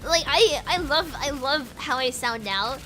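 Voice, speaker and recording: A woman's voice: sits high, lively intonation, unhurried, music in the background. A young woman streaming a game in casual chat, bubbly and giggly, rambling and repeating her words, switching between British and American accents. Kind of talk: casual chat while gaming.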